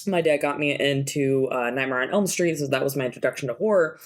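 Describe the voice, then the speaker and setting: Female co-host, husky smoker's rasp, chatty and quick to laugh, casual podcast talk. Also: very deep voice. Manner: chatty, laughs easily, storytelling. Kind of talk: casual chat.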